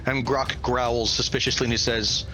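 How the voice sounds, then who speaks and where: Male game master, mid-pitched, vivid descriptive storytelling, music playing behind him. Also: level tone, moderate pace, pushed and bright, poor audio. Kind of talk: improvised tabletop game narration.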